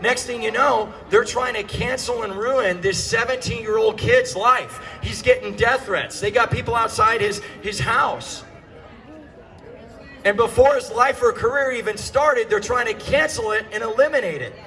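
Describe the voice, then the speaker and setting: Man, American accent, high-pitched, sharp bright tone, activist rally speech, emphatic repetition, projected delivery. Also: normal speed. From United States. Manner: emphatic, repeats key phrases for effect; projected, often shouting. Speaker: political activist addressing a rally.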